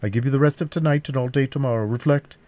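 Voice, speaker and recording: Audiobook villain played by a man: aristocratic male captor, mocking, threatening, pitched well above the narrator. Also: quick pace, dark tone, no room sound, close to an everyday voice. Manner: jeering, mock-cajoling, threatening. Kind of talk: classic novel audiobook character voice.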